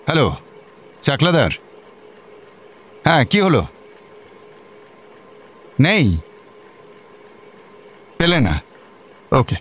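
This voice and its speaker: Police superintendent, slow delivery, swooping intonation, nonchalant, dismissive, radio comedy. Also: male voice, on the high side, big pitch swings, fairly bright tone, slightly rough, full character voice. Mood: businesslike. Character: nonchalant, brisk male district police superintendent. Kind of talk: Bengali radio comedy acting. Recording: faint background noise.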